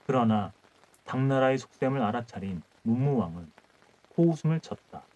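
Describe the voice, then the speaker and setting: A man narrating a folk tale as a calm audiobook reader, reading in measured short phrases. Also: mid-range voice, highs cut off. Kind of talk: calm bedtime storytelling.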